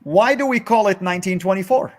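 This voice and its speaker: Hesitant male live stream host. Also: high voice. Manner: halting, with many fillers and restarts. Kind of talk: moderating a live discussion.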